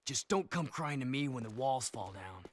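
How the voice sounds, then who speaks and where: A man's voice: mid-range voice, expressive, normal speed, a little hiss or hum, lightly acted. Man playing a terse, brooding young hero. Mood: tense, defiant. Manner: curt, serious. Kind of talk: video game cutscene acting.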